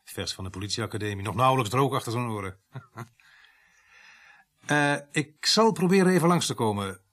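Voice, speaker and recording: An actor in a radio play as a middle-aged, grumbling veteran village police sergeant, speaking with dry, gruff sarcasm. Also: male voice, medium pitch, animated, moderate pace, a bit bright. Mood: busy, jovial. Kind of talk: radio drama acting.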